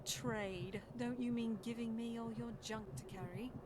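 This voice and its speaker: A woman's voice: fairly high pitch, fairly even intonation, measured pace, heavy background noise, lightly acted. A woman playing a sardonic female companion in a fantasy video game, heard faintly as game audio. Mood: dry, teasing. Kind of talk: scripted video game dialogue.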